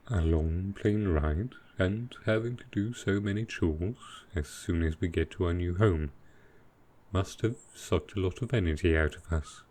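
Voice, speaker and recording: A man playing a gentle, earnest dragon boyfriend in an intimate roleplay audio, musing softly and naively. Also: sits low. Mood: musing, content.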